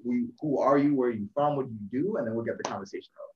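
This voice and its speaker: A man hosting a podcast in a relaxed way, talking casually with lots of fillers. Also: mid-range voice, racing. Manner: relaxed, filler-heavy talk. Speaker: podcast host. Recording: roomy, distant, muffled mic.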